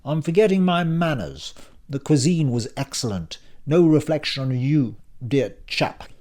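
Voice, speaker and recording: A pompous, mock-courteous drunken gentleman, put on by a male narrator. He speaks in posh Received Pronunciation, as an audiobook character. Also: fairly high pitch, expressive, a little slow, voice pushed up. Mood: mock apology. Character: drunken man affecting mock-polite, posh English gentleman.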